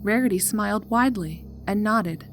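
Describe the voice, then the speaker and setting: A woman narrator reading a scripted horror story in a measured, dramatic way. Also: mid-range voice, faint music behind.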